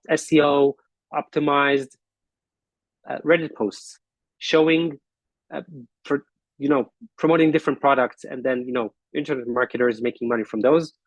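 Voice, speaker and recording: A man hosting an online business forum, talking casually and haltingly while he walks through his shared screen on a video call. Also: mid-range voice, dry recording, low-quality recording. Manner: halting, thinking aloud with fillers and restarts.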